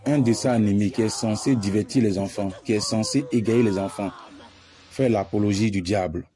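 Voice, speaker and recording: A man's voice: on the low side, normal speed, warm tone, faint music behind. A man preaching a warning as an evangelist, emphatic, with repeated phrases. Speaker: evangelist, channel presenter.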